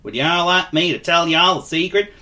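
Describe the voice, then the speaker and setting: A man voicing a kindly, gentle vagabond in a storybook, talking in a folksy, soothing way. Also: a little high, normal speed, voice pushed up. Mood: reassuring.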